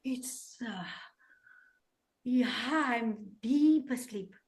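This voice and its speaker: A woman's voice: sits high, big pitch swings, normal speed, gravelly, sharp tone, exaggerated voice. A woman voicing a sleepy, grumbling stick in a storybook tale, hesitant and annoyed at being woken. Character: drowsy, grumpy stick woken from siesta.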